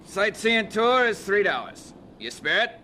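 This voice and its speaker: An actor playing a brash, impatient city cab driver in a radio drama, curt, slangy and mocking. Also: male voice, high voice, big pitch swings, measured pace, bright, forceful tone, heavily acted. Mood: sardonic. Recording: noisy old radio drama recording.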